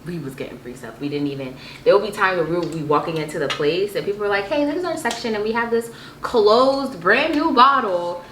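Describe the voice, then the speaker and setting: A woman in her twenties chatting casually and telling stories in a playful, animated, slangy way. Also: medium pitch, brisk. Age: 20s. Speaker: vlog guest telling stories with sibling. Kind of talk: casual chat, storytelling. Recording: echoey room with background noise.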